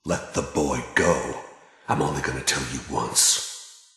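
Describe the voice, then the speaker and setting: A cold, world-weary video game villain, a middle-aged American gun for hire with an extremely low, heavily rasping voice. He speaks in a laconic, sardonic way with quiet menace. The sound is close and dry. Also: takes it slowly, dry recording, exaggerated voice. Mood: menacing. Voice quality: gravelly, raspy. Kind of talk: video game voice acting, cinematic monologue.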